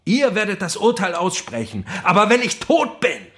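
An actor playing a defiant, fanatical prisoner on hunger strike in a theatrical radio play, speaking with intense, declamatory delivery. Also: male voice, high-pitched, big pitch swings, moderate pace, heavily acted. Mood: fervent, accusing. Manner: intense, declamatory, shifting from hushed mutter to ringing proclamation.

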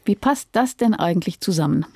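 A woman's voice: fairly low pitch, animated, a little fast, creaky, a little mellow, husky, dry recording. A woman interviewing a guest in a conversational style with prepared questions. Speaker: radio interviewer.

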